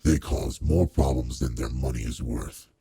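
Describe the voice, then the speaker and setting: A man plays a courteous but commanding demon lord in an intimate audio roleplay. His voice is extremely low and very husky, with a dark, mellow color. He speaks in measured, formal phrases, like a boss who quietly cares. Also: no echo, heavily acted. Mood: weary, annoyed. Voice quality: very deep, gravelly, husky. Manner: measured, formal, authoritative with soft concern. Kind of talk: roleplay audio drama, intimate one-to-one address.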